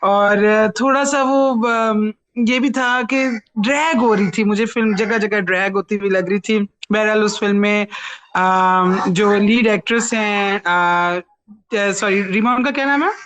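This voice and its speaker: A woman's voice: mid-range voice, a little slow, a bit bright. A woman with a Pakistani accent hosting a casual film review chat, mixing Urdu with English phrases. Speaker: talk show host and film reviewer.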